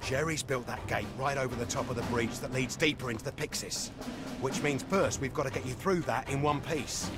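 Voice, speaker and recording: An actor playing a brisk, slangy British army corporal of the Second World War in a video game cutscene, combative in manner. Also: male voice, mid-range voice, close to an everyday voice. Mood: planning. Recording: clear music over the voice.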